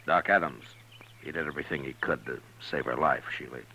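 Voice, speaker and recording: An actor playing a stern, steady American frontier marshal in a 1950s radio western, measured and plain-spoken. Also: male voice, rumbling low, breathy edge, exaggerated voice. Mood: somber, gentle. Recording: dull old radio recording.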